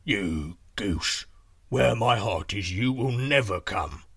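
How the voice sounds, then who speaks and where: Storybook giant: deep, slow, booming, suspicious yet fond. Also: male voice, lively intonation, a bit bright, slightly rough, no echo, studio quality, exaggerated voice. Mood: slow, confiding. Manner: slow, heavy delivery.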